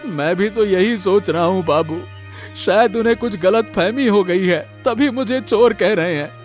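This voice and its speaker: A man's voice: sits high, a little slow, faint music behind. A man voicing a poor, honest village labourer and devoted father in a cartoon, earnest and repetitive in his speech. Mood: desperate begging. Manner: stammering, pleading, repeats words. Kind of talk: Hindi moral-story cartoon voice acting.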